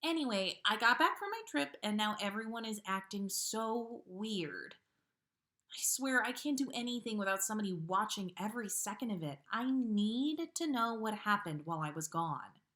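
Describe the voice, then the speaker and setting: A woman's voice: medium pitch, lively intonation, normal speed, a bit bright, close to an everyday voice. A woman voicing an awkward, impatient, bookish teenage girl in a story, hesitant and easily exasperated.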